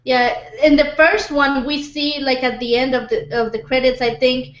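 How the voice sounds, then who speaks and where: Woman with a high voice, casual panel chat, echoey room, dull sound. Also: flat delivery, normal speed, background noise. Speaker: discussion panelist. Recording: roomy, dull lo-fi recording.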